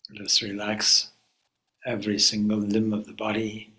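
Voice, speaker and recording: A man leading a guided meditation as a spiritual teacher, speaking calmly and deliberately in short phrases with pauses. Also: fairly low pitch, rough recording.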